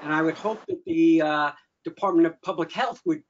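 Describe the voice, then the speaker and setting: Higher-pitched man on a muffled call. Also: moderate pace. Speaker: meeting host. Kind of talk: informal meeting remarks. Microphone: video call. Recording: dull call audio, faint noise.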